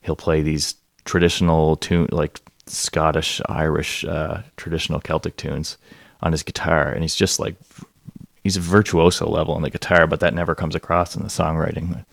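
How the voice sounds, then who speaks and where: A man's voice: rumbling low, little pitch movement, normal speed, creaky, no room sound. A man hosting a radio music show, chatting casually between songs in an easygoing, hesitant way. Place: Prince Edward Island.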